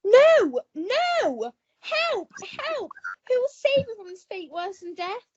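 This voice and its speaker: A woman's voice: very high-pitched, very animated, takes it slowly, lo-fi sound, background noise, exaggerated voice. A woman playing a helpless, indignant young damsel in distress, wailing in a radio melodrama. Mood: panicked. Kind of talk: old-fashioned radio melodrama acting.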